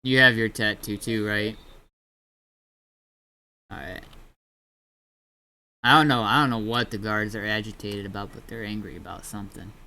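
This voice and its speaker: A man's voice: fairly low pitch, steady tone, moderate pace, creaky, long pauses, bright, forceful tone, poor audio. A man chatting casually in an online roleplay game, with a laid-back, matter-of-fact way of talking. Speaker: streamer playing online roleplay.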